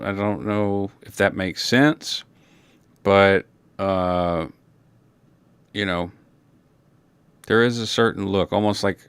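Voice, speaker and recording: A man whose native language is Creole French, speaking in a reflective, unscripted personal monologue, halting and searching for words. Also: low-pitched, clean tone. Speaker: personal documentary narrator.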